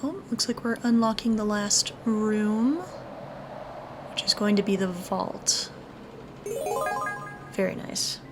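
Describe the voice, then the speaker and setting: A woman's voice: mid-range voice, fairly even intonation, slow pace, long pauses. A woman giving casual let's play commentary as the host of a gaming video. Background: music.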